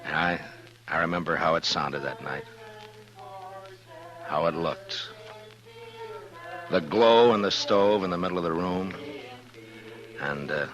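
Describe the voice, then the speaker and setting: An actor playing a steady, kindly, plain-spoken Old West marshal in an American 1950s radio Western, speaking in a thoughtful, measured way. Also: male voice, sits low, dull audio. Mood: wistful, reminiscing. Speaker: lead actor and narrator. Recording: vintage radio recording, music behind.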